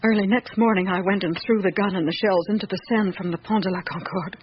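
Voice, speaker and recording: An actress playing a loyal, troubled old woman in service to a count in a radio drama, speaking in a measured, hesitant, plaintive way. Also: medium pitch, fairly even intonation, lightly acted. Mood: confessing, weary. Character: loyal elderly female servant of a count, troubled. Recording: muffled, noisy old radio recording.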